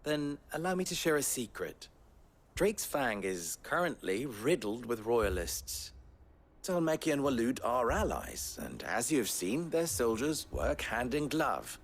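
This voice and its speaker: A man's voice: mid-range voice, very animated, a little slow. A man playing a suave, wry underworld fixer in a video game cutscene, with arch, formal phrasing. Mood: grave, wry.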